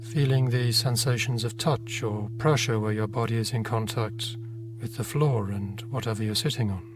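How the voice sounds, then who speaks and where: Man guiding a meditation over soft music. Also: lowish voice, steady tone. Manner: gentle, unhurried, with long pauses between phrases. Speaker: meditation guide. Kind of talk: guided meditation narration. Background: meditation music with singing bowl tones.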